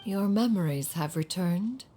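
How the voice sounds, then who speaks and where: A woman's voice: lowish voice, animated, measured pace, dark tone, soft background music. An actress voicing a dignified, haughty immortal woman in a video game, with stately, archaic phrasing. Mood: grave, considerate. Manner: stately, archaic, refers to herself as "one". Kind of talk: dramatic video game voice acting.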